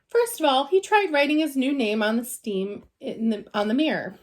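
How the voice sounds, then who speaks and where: A woman's voice: high voice, normal speed. A woman teacher reading a children's storybook aloud with expression.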